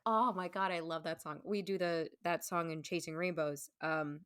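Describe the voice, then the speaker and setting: A woman's voice: fairly low pitch, fairly even intonation, moderate pace, a bit bright, clear, resonant tone, no room sound. Chatty woman, close dry sound. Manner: chatty, emphatic, frequent "like". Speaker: podcast guest, stage performer. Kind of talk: casual chat.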